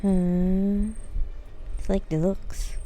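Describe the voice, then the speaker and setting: A woman's voice: medium pitch, flat delivery, very slow, mellow, a little hiss or hum. A woman giving casual live commentary as she streams a video game.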